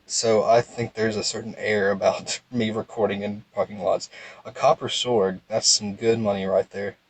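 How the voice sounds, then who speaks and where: A young man with a calm, low voice, giving casual, rambling game commentary in a laid-back monotone. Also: moderate pace, no echo. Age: young adult. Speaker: gaming video host.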